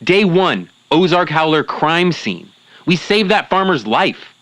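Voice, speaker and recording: A man's voice: a little high, sing-song, slow pace, a bit bright, no echo, exaggerated voice. A man playing a self-important young cryptid-hunting believer in a comedic audio drama, earnest and easily offended. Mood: petulant. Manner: petulant, earnest, melodramatic. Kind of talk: comedic audio drama acting as interview guest.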